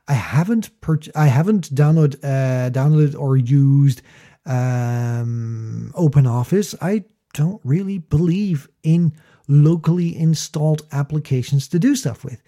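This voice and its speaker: A man's voice: a little high, slow, dark tone. A man hosting a casual explainer monologue about his own experience, conversational and emphatic with wry asides. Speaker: host, small business owner.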